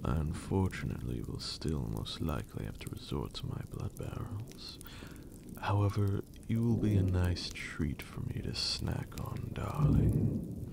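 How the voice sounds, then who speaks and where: A man playing a teasing, possessive vampire master in a breathy, formal, seductive ASMR roleplay. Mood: calm, menacing. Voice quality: breathy, low, creaky. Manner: slow, deliberate, formal, seductive.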